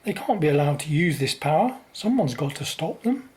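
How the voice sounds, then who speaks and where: A woman's voice: low voice, very animated, normal speed, a little mellow. A woman playing an earnest, idealistic son in a radio play, brave and self-sacrificing. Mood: concerned.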